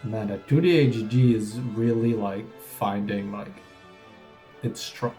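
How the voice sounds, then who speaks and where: A man's voice: lowish voice, steady tone, a little slow, dark tone. A man who is sick and sniffling, chatting casually and offhandedly while reacting to video game announcements. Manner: rambling, offhand, sometimes wry. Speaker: gaming reaction streamer. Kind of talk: casual live reaction chat. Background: faint trailer music.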